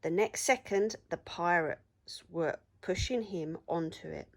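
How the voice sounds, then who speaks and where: A woman teacher reading a story aloud to children in an expressive storytelling style. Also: on the low side, unhurried, vocal fry.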